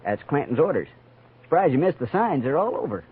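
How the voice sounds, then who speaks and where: An actor playing a chatty, nosy frontier express clerk with an American accent and folksy, rambling talk in a 1950s radio western. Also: male voice, a little high, very animated, fairly quick, slightly rough, exaggerated voice. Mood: folksy, deferential. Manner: folksy, rambling, drawn to gossip. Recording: tinny, narrow old radio recording.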